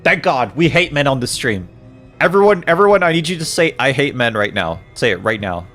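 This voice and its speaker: A man's voice: fairly high pitch, very animated, fairly quick, a bit bright, soft background music. A man in his twenties streaming a game, joking with chat, reading messages aloud and laughing often. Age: 20s. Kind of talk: casual livestream chat while playing a game.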